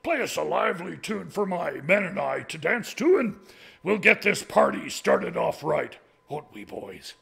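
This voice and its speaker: A storybook goblin king passing as a fine gentleman, voiced by a man. He is pompous and self-satisfied, calling out commands in a hearty, lively way. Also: fairly high pitch, a little slow, vocal fry, slightly rough, heavily acted. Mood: jovial, commanding.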